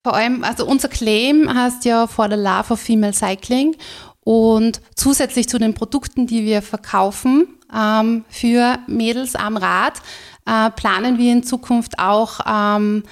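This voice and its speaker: A chatty Austrian woman with a fairly high voice, speaking as an interview guest. She talks openly and a little loosely, dotting her sentences with fillers. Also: steady tone, a little slow. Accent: Austrian German. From rural Styria, Austria. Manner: chatty, candid, full of fillers and laughs. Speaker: guest, business co-founder. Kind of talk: casual interview talk.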